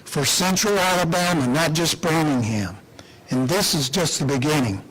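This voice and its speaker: A man speaking as an official at a public announcement, deliberate and emphatic, repeating phrases with rhetorical pauses. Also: on the high side, a bit bright, breathy edge, rough recording. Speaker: airport official giving an announcement speech. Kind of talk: public speech at a press announcement.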